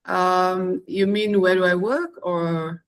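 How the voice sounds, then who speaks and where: A woman's voice: fairly low pitch, fairly even intonation, slow pace. A woman from Malaysia giving brief, hesitant replies as a participant in an online call. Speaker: webinar participant.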